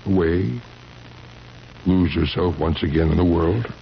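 A very low, creaky-voiced husband of seventy in a vintage radio play. He is worn out and distressed, halting as he quietly insists. The old recording is dull with a steady noise. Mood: weary, resigned. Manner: halting, repeating himself. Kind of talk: 1970s radio drama acting.

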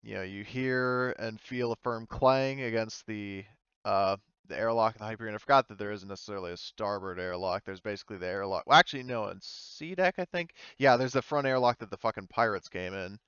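Male game master, low and clean voice, informal and halting narration, slightly dull close-up audio. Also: a bit bright, clear, resonant tone, no room sound. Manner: hesitant, informal, explanatory. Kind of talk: casual tabletop game narration, improvised.

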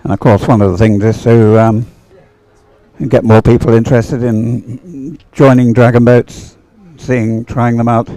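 A man giving chatty live sports commentary in a halting, unhurried way, as if thinking aloud. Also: mid-range voice, level tone, mellow, dry recording, rough recording.

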